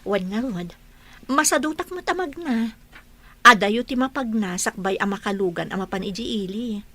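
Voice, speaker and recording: A woman's voice: mid-range voice, expressive, moderate pace, fairly bright tone. A woman acting a patient, reluctant village mother in a radio drama. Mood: patient, resigned.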